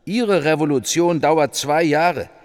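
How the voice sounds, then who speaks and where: An actor in a radio play voicing a cautious but insistent government leader, formal and emphatic. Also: male voice, fairly high pitch, slow pace. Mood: alarmed, arguing. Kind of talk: German radio play acting. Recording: reverberant room.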